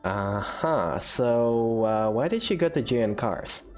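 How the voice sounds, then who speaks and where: A woman's voice: extremely low pitch, animated, takes it slowly, darkish tone. A woman giving casual, offhand let's play commentary as host of a gaming video, a little hesitant. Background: game music.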